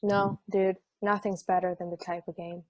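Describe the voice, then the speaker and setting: A woman's voice: lowish voice, flat delivery, normal speed, no room sound, natural voice. A woman playing a jaded, sardonic sports podcast host in a casual table read. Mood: wry, teasing.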